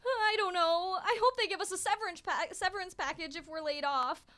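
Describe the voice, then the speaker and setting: A woman's voice: very high voice, a little fast, bright, forceful tone. A woman playing an anxious, adoring factory worker in a campy radio comedy. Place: small radio studio.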